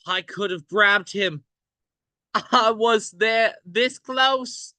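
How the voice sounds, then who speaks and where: A woman plays an anxious kid in an adventure table read. The voice is bright and close-dry, with halting, pleading delivery at a very unhurried pace. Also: medium pitch, dry recording. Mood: distraught. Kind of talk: table read of a film script.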